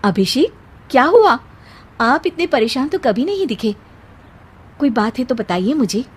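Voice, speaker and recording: A gentle, dutiful young wife in a cartoon moral tale, put on by a woman narrator. She speaks earnestly in a very high, lively voice. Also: unhurried, slightly rough, full character voice. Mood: concerned. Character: gentle, dutiful young daughter-in-law, worried and self-sacrificing. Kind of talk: cartoon moral story voice acting.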